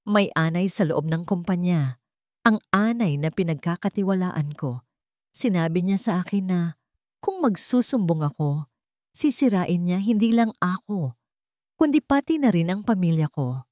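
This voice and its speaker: A woman's voice: fairly low pitch, lively intonation, moderate pace, warm tone, no room sound, studio quality, close to an everyday voice. A woman voicing a frightened, cornered father confiding in his journal, in a dramatic audio storybook. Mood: fearful.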